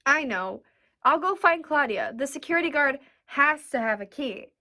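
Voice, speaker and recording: Woman acting a wisecracking studio worker, take-charge, high bright voice, radio drama. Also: lively intonation, normal speed. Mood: brisk, wry.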